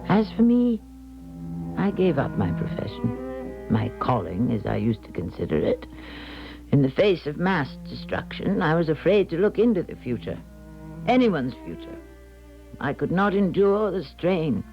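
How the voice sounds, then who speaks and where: An actress playing a grave, haunted older female clairvoyant, narrating a 1970s radio drama in a measured, solemn way. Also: deep voice, very animated, exaggerated voice. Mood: grave, resigned. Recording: dull 1970s radio broadcast, music behind.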